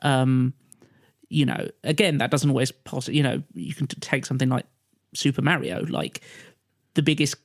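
A man's voice: mid-range voice, moderate pace, creaky, dry recording, clean studio sound. A man in a casual podcast discussion, talking in a rambling way with lots of hesitant asides. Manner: hesitant, rambling, frequent you-know and like. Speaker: podcast panelist.

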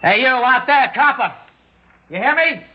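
Cocky young hoodlum, muffled radio. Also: male voice, extremely high pitch, expressive, measured pace, background noise. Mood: belligerent. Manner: tough slangy street talk, barked threats. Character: cocky, jumpy young male gunman, crude small-time hoodlum. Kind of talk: old-time radio drama acting. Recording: muffled old radio recording.

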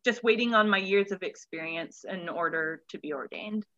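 A young woman in her twenties speaks as an interview guest in a bright, forward voice. She talks in a candid, informal way about herself, often checking her own words. The audio is slightly dull and lo-fi. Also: medium pitch, moderate pace. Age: 20s. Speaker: children's pastor, interview guest. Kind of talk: casual interview chat.

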